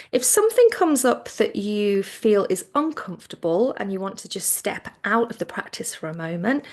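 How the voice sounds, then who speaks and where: A woman teaching guided relaxation, speaking in a soothing, gentle way with phrases that trail off softly. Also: mid-range voice, creaky. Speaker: yoga nidra relaxation teacher.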